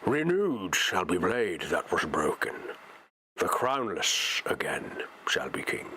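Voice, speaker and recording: Grave old male wizard, storybook reading, measured solemn delivery, sweeping intonation, faint noise, a man acting it out. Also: on the low side, pushed and bright, voice pushed down, exaggerated voice.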